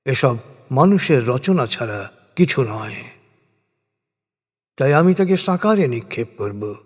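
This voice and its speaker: In a long, hall-like reverb, a man narrates scripture solemnly at a slow pace. His fairly high, husky voice is measured and dramatic, pausing deliberately between phrases. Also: darkish tone. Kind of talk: solemn scripted religious recitation in prose.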